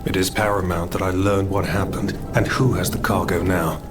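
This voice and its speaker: A man playing a grief-stricken, honourable French general in a video game, speaking gravely, wearily and formally. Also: sits low, fairly even intonation, normal speed, lightly acted. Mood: grave, worried. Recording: slightly distant, loud music behind.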